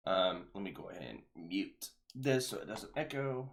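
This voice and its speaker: A man's voice: low-pitched, expressive, normal speed, vocal fry, a little hiss or hum. A man hosting a casual live stream, speaking hesitantly with fillers and reading out viewer comments. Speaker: show host.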